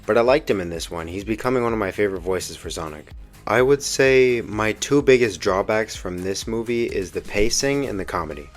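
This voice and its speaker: A man's voice: lowish voice, animated, fairly quick. A young man giving casual, slangy movie commentary with the earnest enthusiasm of a fan. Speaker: video essay host reviewing a film. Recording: music behind the voice. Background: background music.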